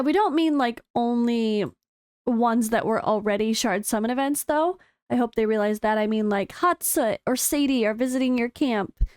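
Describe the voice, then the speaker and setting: An American woman with a high, clear voice that is slightly hoarse today talks through game developer notes in a chatty, excitable way, full of fillers. The sound is dry and close, with no room echo. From United States. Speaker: gaming content creator. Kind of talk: casual commentary reading developer notes.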